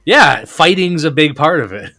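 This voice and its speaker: A man hosts a podcast with a voice of ordinary pitch. The sound is dry and close, and he keeps up a steady run of dry jokes. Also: moderate pace, gravelly, dry recording. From Canada. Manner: joking, dry humor. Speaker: podcast host. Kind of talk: casual podcast conversation.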